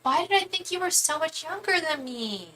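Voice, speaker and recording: Woman, 30s, live tarot reader, rambling chatty delivery, bright crisp voice. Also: very high-pitched, expressive, moderate pace, a little hiss or hum. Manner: chatty, rambling, many asides and restarts. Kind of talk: live tarot reading for viewers.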